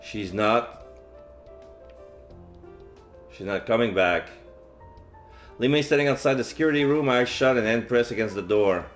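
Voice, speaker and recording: A man's voice: mid-range voice, a little slow, long pauses. Male game streamer, medium register, narrates visual novel text with casual asides, light background music. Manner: dramatic reading mixed with offhand asides. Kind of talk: reading visual novel aloud, casual commentary. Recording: game music faintly behind. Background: video game music.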